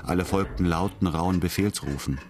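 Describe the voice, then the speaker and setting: A man narrating a radio drama in a measured, literary manner. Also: low voice, close to an everyday voice.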